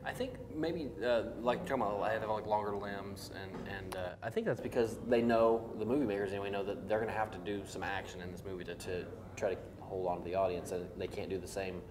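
An American man in his forties chatting as co-host of a panel show in a hesitant, halting way. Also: fairly low pitch, steady tone, crowd noise. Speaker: co-host of a geek culture show. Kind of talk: casual panel chat. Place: indoor room set, seated around a table. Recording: roomy sound, faint noise.

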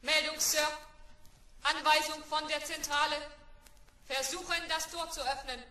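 Obedient radio-play robot: high, monotone, clipped, emotionless reports. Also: measured pace, roomy sound, recorded from a distance, heavily acted. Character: literal-minded obedient robot R7, flat and emotionless.

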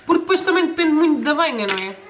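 A woman's voice: high-pitched, expressive, racing, a crowd in the background. A young woman in her twenties with a European Portuguese accent, chatting casually while filming a cooking vlog at home. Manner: chatty, asks her mother questions. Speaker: vlogger. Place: home kitchen, indoors. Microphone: handheld camera close up. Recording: home kitchen with audible background noise.

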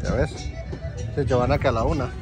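A man's voice: mid-range voice, fairly even intonation, normal speed. Man, easygoing vlogger, outdoor flea market, phone camera, music in background. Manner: easygoing, addresses viewers as "mi gente". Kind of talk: casual vlog chat.

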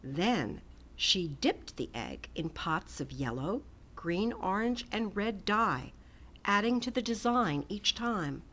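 A woman reading a storybook aloud to children in a gentle, expressive way. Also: medium pitch. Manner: gentle, expressive, slow and lively. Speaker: storybook reader.

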